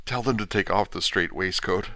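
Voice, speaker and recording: A man's voice: low voice, normal speed, bright, forceful tone, dry recording, clean studio sound. A man voicing a dying asylum patient, weak and frantic, pleading in urgent gasps. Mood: dazed. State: weak, near death.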